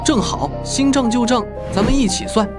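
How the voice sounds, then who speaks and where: Sneering young village bully. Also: male voice, very high voice, moderate pace. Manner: sneering, taunting. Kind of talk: animated drama dubbing. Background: background music.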